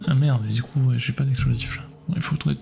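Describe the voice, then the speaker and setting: Gravelly man muttering over gameplay. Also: medium pitch, steady tone, fast talker, warm tone, faint music behind. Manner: mutters to himself, swears often. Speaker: streamer. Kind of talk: live gameplay commentary.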